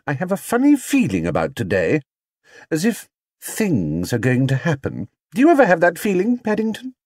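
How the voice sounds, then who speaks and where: A man voicing a brisk, prim, doubtful mother who fusses and chides in a children's story. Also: on the high side, huge pitch swings, a little mellow, dry recording, clean studio sound, pitched above the performer's own voice, exaggerated voice. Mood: uneasy.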